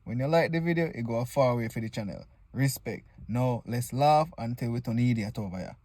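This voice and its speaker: A man's voice: medium pitch, a little fast, heavy background noise. A man with a Jamaican accent hosting comedic reaction commentary in casual, exclamatory Patois. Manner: informal Patois, exclamatory, joking. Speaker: video host reacting to stage play clips.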